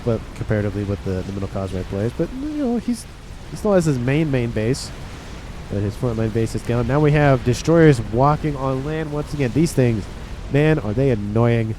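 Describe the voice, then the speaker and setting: Male game caster, nasal from a cold, mellow laid-back play-by-play. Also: mid-range voice, moderate pace, a little hiss or hum. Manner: relaxed, rambling, talks to viewers. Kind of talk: casual play-by-play commentary.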